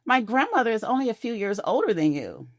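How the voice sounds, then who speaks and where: A woman's voice: fairly high pitch, very animated, brisk, no echo, clean studio sound, voice pushed up. A woman voicing a cheerful, tactless young female restaurant hostess in an audio drama. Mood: bubbly. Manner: bubbly, oblivious.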